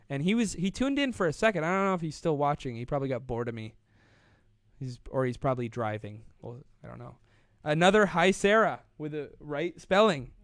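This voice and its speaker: A man's voice: on the high side, dry recording. A young man hosting a casual live online show, rambling and playful, laughing often as he reads out viewer comments. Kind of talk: casual live-stream chat.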